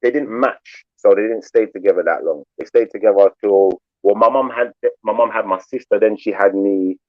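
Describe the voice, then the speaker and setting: A man's voice: lowish voice, normal speed, dry recording, lo-fi sound. A man from England, talking loosely in a casual group chat and filling his speech with "like" and "you know". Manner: laid-back, rambling, says "like" and "you know" a lot. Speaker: panel guest.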